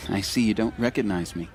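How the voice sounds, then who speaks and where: A man's voice: fairly low pitch, unhurried, darkish tone, natural voice. Friendly, humble young man played by an actor. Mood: friendly, earnest. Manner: friendly, earnest, self-deprecating. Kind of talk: video game voice acting.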